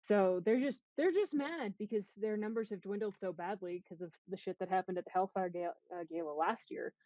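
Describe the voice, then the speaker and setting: A woman's voice: mid-range voice, a little fast, warm tone, dry recording, lo-fi sound. A woman podcast host talking casually, making her points in an analytical, emphatic way over a voice call.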